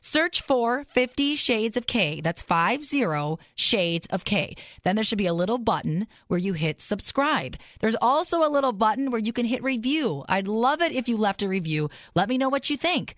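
A woman's voice: a little high, big pitch swings, dry recording, studio quality. A woman hosting a podcast interview, upbeat and encouraging in casual chat. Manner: upbeat, encouraging, quick to agree. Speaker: podcast host.

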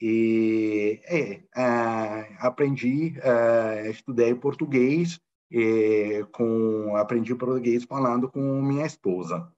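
A man's voice: mid-range voice, slow pace, darkish tone, highs cut off. A middle-aged man with an Italian accent, whose first language is Italian, answering interview questions in Portuguese with many fillers and repeated words. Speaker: guest, football club finance director.

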